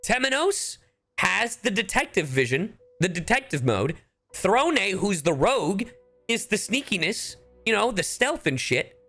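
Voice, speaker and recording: Animated, sweary male game streamer. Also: high voice, unhurried, creaky. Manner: animated, sweary, tangent-prone. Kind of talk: casual chat, live game commentary. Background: game music.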